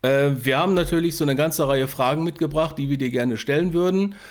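A man's voice: a little high, fairly quick, darkish tone. A man co-hosting a podcast, chatting casually as he interviews a guest. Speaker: podcast co-host.

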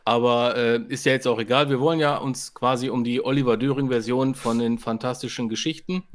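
A man's voice: medium pitch, fairly even intonation, brisk. A man co-hosting a podcast in casual, conversational chat. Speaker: podcast co-host.

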